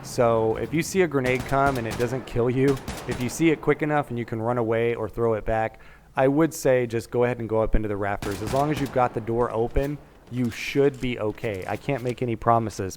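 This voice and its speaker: A man's voice: mid-range voice, steady tone, fairly quick, darkish tone, a little hiss or hum. A man narrating a video game walkthrough in a casual, explanatory way, carefully cautioning as he goes.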